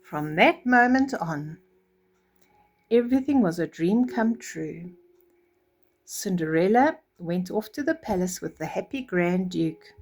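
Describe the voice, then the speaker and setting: A woman's voice: on the low side, unhurried. A woman storyteller reading a children's fairy tale expressively, with chatty asides and acted-out reactions. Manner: animated, acts out reactions.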